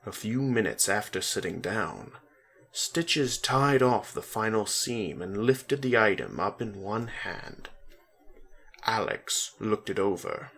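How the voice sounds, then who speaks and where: Low-pitched man reading a story. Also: lively intonation, slow, creaky, fairly bright tone, slightly rough. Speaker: narrator.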